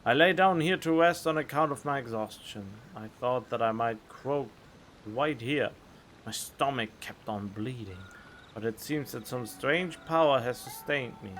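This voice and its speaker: A man's voice: medium pitch, measured pace, fairly bright tone, a little hiss or hum, close to an everyday voice. A man voicing a wounded, dying man full of regret, reading game text aloud in a dramatic, pained way. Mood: weary, pained.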